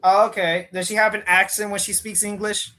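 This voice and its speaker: A man's voice: high-pitched, flat delivery, brisk, pushed and bright. A man hosting a casual live stream chat, laid-back and swearing freely, calling people bro and dude.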